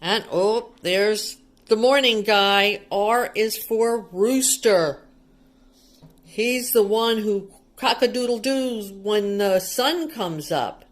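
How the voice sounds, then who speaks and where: A woman's voice: mid-range voice, slow pace. A woman reading a picture book aloud to young children like a teacher, explaining things simply and asking them questions.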